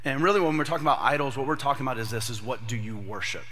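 A man in his thirties, a pastor with a slightly raspy voice from a cold, giving a casual, joking sermon to young adults. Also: medium pitch, very fast, fairly bright tone, long reverb tail. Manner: chatty storyteller, jokey asides, many fillers.

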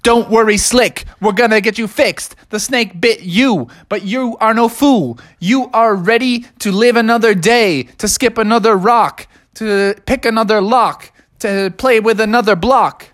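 An earnest, theatrical male bear from a children's story, acted out by a storyteller. The voice is lifted high and speaks grandly, in a dry, close recording. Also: expressive, measured pace, a bit bright, dry recording. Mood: encouraging. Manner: theatrical, formal old-fashioned phrasing. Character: male bear, dramatic, pleading, earnest adventurer.

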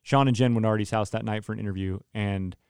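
A young man talks through a personal story in an easygoing podcast conversation, and his voice comes across smooth and resonant. He is earnest and restarts his sentences often. The sound is close and dry, with no room around it. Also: lowish voice, fairly even intonation, fast talker, a little mellow, no echo. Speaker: worship leader. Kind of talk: casual podcast chat.

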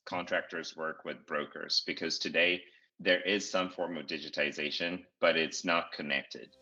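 CEO guest, very deep and bright, measured with many pauses, on a dull lo-fi recording. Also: male voice, fairly even intonation. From Zimbabwe. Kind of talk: interview answers. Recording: dull lo-fi audio.

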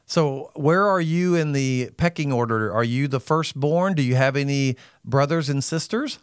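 A man's voice: mid-range voice, normal speed, smooth, no room sound, clean studio sound. A man hosting a podcast interview, earnestly asking a guest probing questions. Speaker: podcast host.

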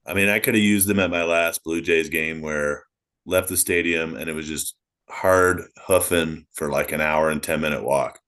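A man in his forties co-hosts a casual podcast in a low, deep voice. He talks in a fairly flat, monotone way and pokes gentle fun at himself. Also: normal speed. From Canada. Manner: monotonous, self-deprecating. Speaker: podcast co-host. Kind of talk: casual chat.

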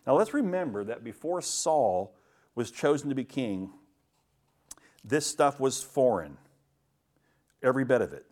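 A man delivers a sermon as a pastor, teaching through scripture. His delivery is emphatic, with pointed questions and deliberate pauses. His voice sits at a middling pitch. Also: sing-song, darkish tone. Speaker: pastor preaching. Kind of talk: sermon, verse-by-verse Bible teaching.

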